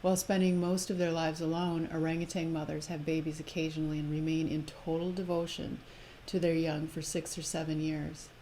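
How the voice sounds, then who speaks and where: Female live-stream host with a low register, delivering spiritual readings and casual talk over slight noise. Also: flat delivery, brisk, hardly any pauses, mellow, low-quality recording. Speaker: host of a live spiritual oracle card presentation.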